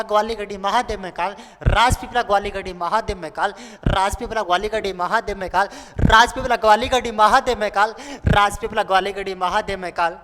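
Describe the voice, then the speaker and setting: High-voiced young man teaching, echoey noisy room. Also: fairly bright tone. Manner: repetitive, playful, colloquial, acts out pupils' questions. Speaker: online exam-prep geography teacher. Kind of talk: animated lesson with repeated drilling. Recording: noisy, echoing hall-like room with clicks.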